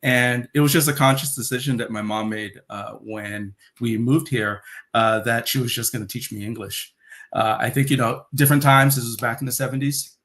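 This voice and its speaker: A man's voice: medium pitch, steady tone, moderate pace, a bit bright. A man in his fifties with an American accent, talking casually as an interview guest. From San Francisco, USA. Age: 50s. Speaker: interview guest, video game industry veteran.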